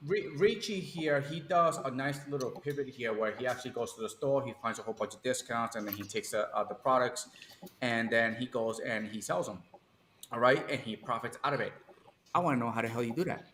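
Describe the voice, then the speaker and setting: A man's voice: medium pitch, brisk, fairly bright tone. Man, co-host, casual livestream chat, blunt, roomy echo, faint background noise.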